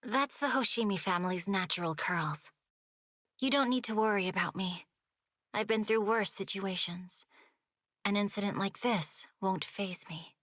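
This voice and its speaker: A woman's voice: medium pitch, measured pace, a bit bright, no echo, clean studio sound. An actress playing a stoic, proud young noblewoman who wields a sword in a video game, speaking in a formal, composed, terse manner. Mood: cool, composed. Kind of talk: dramatic video game voice acting. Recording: music behind the dialogue.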